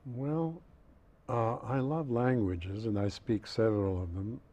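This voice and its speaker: A man's voice: mid-range voice, steady tone, unhurried, mellow, muffled recording. An older man from the former Yugoslavia, German his first language, answering interview questions in a dry, precise, witty way. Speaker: guest critic. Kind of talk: studio interview. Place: dark TV studio set. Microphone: lapel mic.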